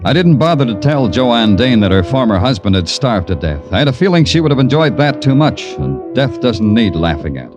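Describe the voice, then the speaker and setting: Actor as wry radio detective, swooping delivery. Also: male voice, mid-range voice, fairly quick, hardly any pauses, natural voice. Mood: grim narration. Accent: American. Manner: deadpan, sardonic. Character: wry, world-weary male New York police detective. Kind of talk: 1940s-50s radio crime drama acting and narration. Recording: old radio drama recording with music.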